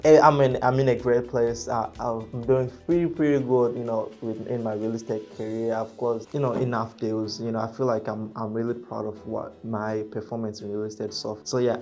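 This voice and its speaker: A real estate agent vlogging to camera in English, which is not his first language. He is reserved and soft-spoken and speaks haltingly, with many fillers and self-corrections. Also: mid-range voice. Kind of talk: casual talking-to-camera vlog.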